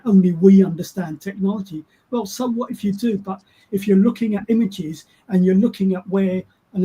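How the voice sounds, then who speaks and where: A man's voice: sits high, mellow, slightly rough, low-quality recording. A man speaking as a panelist, hesitant and full of restarts and repeated words. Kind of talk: panel discussion.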